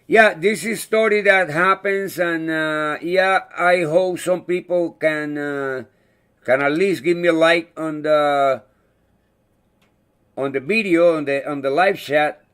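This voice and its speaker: A man whose first language is not English, hosting a casual live chat, talking in a halting way and searching for words. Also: a little high, fairly bright tone, clear, resonant tone. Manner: friendly, thanks viewers by name. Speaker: livestream host.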